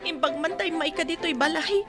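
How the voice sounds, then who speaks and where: A woman's voice: very high voice, a little fast, music in the background. A woman playing a sorrowful young wife left by her husband, pleading tearfully in an Ilocano-accented radio drama. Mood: sad, worried.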